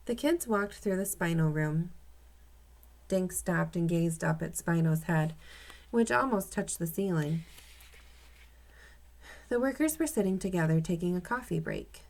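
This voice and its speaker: A woman's voice: on the low side, lively intonation, unhurried, gravelly, pauses often, darkish tone. A woman reading a children's storybook aloud as the narrator.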